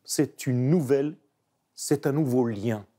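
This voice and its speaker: A man, a painter speaking French as a second language, reflecting emphatically in an interview. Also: medium pitch. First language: not French. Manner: animated, emphatic, searching pauses. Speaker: painter guest.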